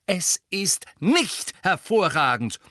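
A man's voice: mid-range voice, big pitch swings, moderate pace, pushed and bright, no room sound, studio quality. A man voicing a strong-willed teenage schoolgirl in an audiobook story, bossy and indignant, speaking in an emphatic, scolding way.

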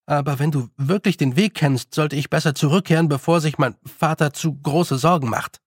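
A man's voice: on the high side, moderate pace, slightly rough, no echo, clean studio sound. A man voicing a young boy in an audiobook, lordly but naive and nervous, with a hesitant, stammering manner. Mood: haughty, wary. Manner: hesitant, stammering, slightly haughty. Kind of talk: audiobook character voice.

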